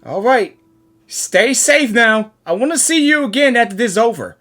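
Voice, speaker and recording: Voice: mid-range voice, animated, normal speed, fairly bright tone. A game reaction creator giving casual, exclamatory, slangy commentary and talking back to the characters. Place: game audio playing behind the voice.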